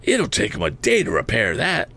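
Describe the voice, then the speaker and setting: A man voicing a seasoned, practical balloonist in an adventure audiobook, brisk and matter-of-fact. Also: medium pitch, very animated, fairly bright tone, voice pushed up. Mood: dry. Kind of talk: audiobook character voice.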